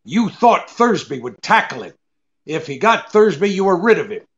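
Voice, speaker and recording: A tough, shrewd private detective in a radio drama. His fairly high voice moves around a lot, clipped and hard-boiled, on slightly muffled audio. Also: big pitch swings, moderate pace, a bit bright, heavily acted. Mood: hard, accusing. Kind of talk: old-time radio drama acting.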